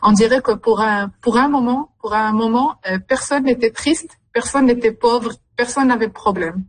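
A young woman, speaking as a journalist guest in an interview, with many pauses and fillers. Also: on the high side. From Morocco. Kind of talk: radio interview.

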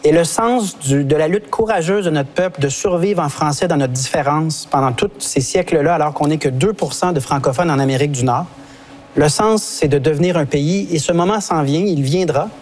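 A man with a Quebec French accent in a political debate, speaking in an impassioned, rhetorical and measured way. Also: fairly high pitch, a little mellow. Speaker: party leader in a debate. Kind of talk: debate argument.

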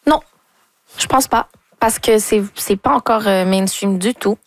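Young Québécois woman, relaxed chat. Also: medium pitch, unhurried, no room sound. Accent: Québécois French. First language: French. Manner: relaxed, mixes English words into French. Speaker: R&B singer, interview guest. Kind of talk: casual interview conversation.

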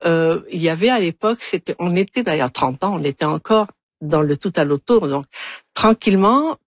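A woman's voice: on the low side, lively intonation, moderate pace, no echo. A middle-aged woman from Algeria, an urban planner answering interview questions, often restarting and repeating words as she searches for them.

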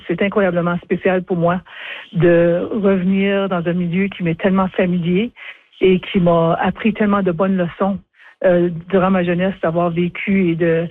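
A middle-aged woman from Northern Ontario with a Franco-Ontarian accent, giving spontaneous interview answers in a halting way, often repeating words. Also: lowish voice, dry recording, narrow, tinny audio. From Northern Ontario, Canada. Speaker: interview guest, former chief justice.

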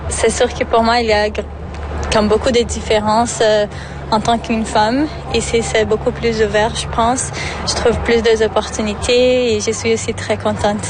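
Higher, even-toned woman, noisy street interview. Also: moderate pace. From Brazil. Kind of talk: spontaneous vox pop. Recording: noisy urban outdoors with cars.

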